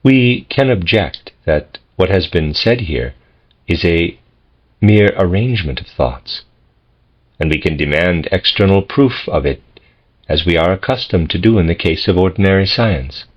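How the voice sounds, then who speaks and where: A man reading a philosophical text as scripted narration, in measured, deliberate phrases with pauses. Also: low-pitched, expressive. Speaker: audiobook reader. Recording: dull, lo-fi audio.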